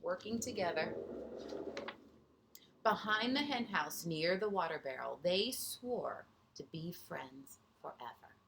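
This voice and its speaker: Slow, expressive woman reading a storybook. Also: fairly low pitch, gravelly, a little hiss or hum, rough recording. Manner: gentle teacherly, pausing to ask the listeners questions. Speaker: teacher reading a picture book to young children. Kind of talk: storybook read-aloud with chatty asides to children.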